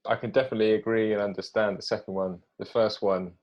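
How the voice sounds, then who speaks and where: A man's voice: lowish voice, flat delivery, fast, a little mellow, dull audio. A man speaking as an interview host in a conversational style.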